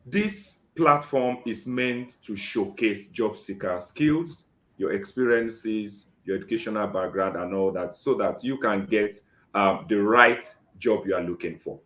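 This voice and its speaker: A Nigerian man hosting a live job seeker interview, often saying "great" and filling pauses with "um". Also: on the low side, fairly bright tone, roomy sound. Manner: keeps saying "great" and fills with "um".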